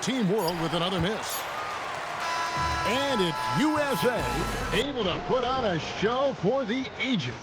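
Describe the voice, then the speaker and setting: An American man doing crisp play-by-play commentary for a basketball video game. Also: high voice, big pitch swings, unhurried. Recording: loud music behind the voice. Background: loud music, bouncing basketball.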